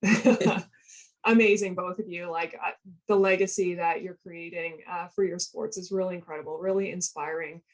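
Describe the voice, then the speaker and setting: A woman's voice: lowish voice, steady tone, normal speed, rough recording. A woman moderating an online panel, upbeat and encouraging with the guests. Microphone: video call.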